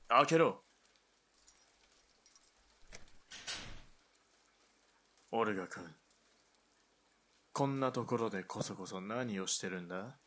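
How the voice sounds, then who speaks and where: An actor playing a calculating middle-aged lieutenant colonel in a radio drama, with measured, condescending speech. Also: male voice, on the low side, a bit bright. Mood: stern.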